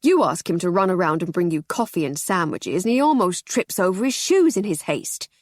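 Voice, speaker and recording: A woman's voice: fairly low pitch, animated, moderate pace, gravelly, darkish tone, no echo, studio quality. Woman doing a plain-spoken police inspector. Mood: indignant. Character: exasperated male police inspector, down-to-earth.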